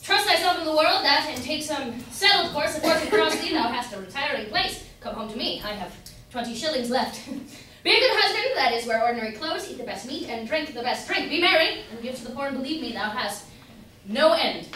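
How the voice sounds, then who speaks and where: A woman's voice: high-pitched, animated, a little fast, sharp tone. A young woman playing a jolly, carefree old husband who breaks into song in an amateur staged play reading. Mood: merry, carefree. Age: young adult. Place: indoor room at a college staged reading. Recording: echoey hall from far off, noisy.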